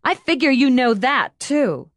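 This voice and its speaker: A woman's voice: high voice, very animated, a little slow, no echo, studio quality, voice pushed up. A woman voicing a bubbly, brash, affectionate young woman who gushes in slang, in an audiobook. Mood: earnest, pleading. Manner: gushing, slangy, exclamatory.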